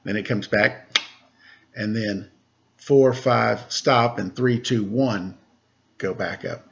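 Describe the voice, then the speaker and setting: Gravelly guy reading a rhyming kids book out loud, chatty asides, kinda dull lo-fi audio. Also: on the low side, slow pace. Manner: lilting and expressive in rhyme, relaxed and chatty in asides. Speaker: storyteller reading a picture book.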